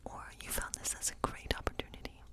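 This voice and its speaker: A woman performing an ASMR role-play as a friendly woodland witch, reading tarot in a breathy, unhurried whisper with a musing, reassuring manner.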